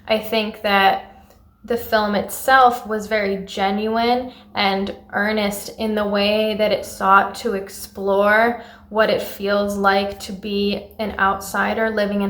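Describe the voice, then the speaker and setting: A woman giving articulate, analytical film feedback as an audience member, in measured and formally phrased sentences. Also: medium pitch, fairly bright tone. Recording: roomy echo.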